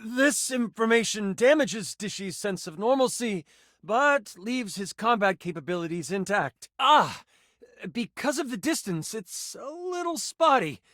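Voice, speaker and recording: An actor playing an excitable, theatrical nerdy game master in a video game, moving between grand narration and casual asides. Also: male voice, high voice, a little slow, no room sound, studio quality. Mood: narrating. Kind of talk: video game character voice lines, dramatic tabletop narration.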